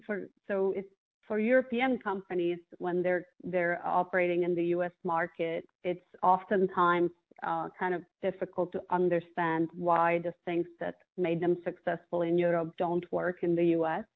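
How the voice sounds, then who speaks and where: A woman with a Czech accent answers interview questions in a thoughtful way, restarting her sentences often. Her voice sits low and stays fairly level. The sound is squeezed and narrow, like a phone call. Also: level tone, moderate pace. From Czech Republic. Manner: thoughtful, many restarts and fillers. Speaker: guest. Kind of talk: interview conversation.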